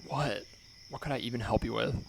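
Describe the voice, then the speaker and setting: A man voicing a flighty, exasperated young valley-girl woman in an improvised comedy podcast, with a creaky voice. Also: medium pitch, brisk, natural voice. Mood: exasperated, dramatic. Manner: exasperated valley-girl talk, dramatic and self-addressing. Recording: faintly noisy remote podcast recording.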